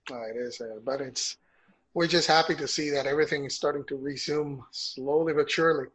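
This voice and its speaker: Husky man walking through a chart. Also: fairly high pitch, steady tone, brisk, fairly bright tone, rough recording. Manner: explains a chart step by step, with restarts. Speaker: co-host of a golf news show. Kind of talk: casual chat.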